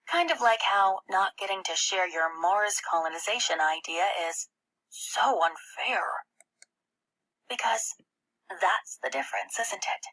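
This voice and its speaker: Breathy woman reading a children's novel aloud. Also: mid-range voice, unhurried, a bit bright, low-quality recording. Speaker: audiobook reader. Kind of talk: expressive first-person read-aloud of a children's novel.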